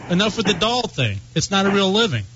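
A man's voice: sits high, big pitch swings, fast, sharp tone. A male radio host who teases his caller, playful and mock-offended. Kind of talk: joking radio talk. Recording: dull radio audio with faint hiss.

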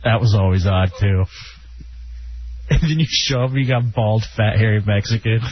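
A man's voice: on the low side, normal speed, a little hiss or hum. A man from Texas hosting a call-in radio show, joking with his co-host and callers and laughing often. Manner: jokey, animated, laughs often. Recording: slightly muffled radio broadcast.

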